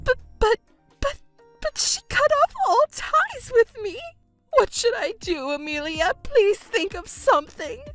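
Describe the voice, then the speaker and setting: A woman's voice: sits high, animated, normal speed, no echo, faint music behind. A woman voicing a selfish, self-pitying middle-aged mother in a scripted family drama, whiny and indignant in a melodramatic way. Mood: wailing despair.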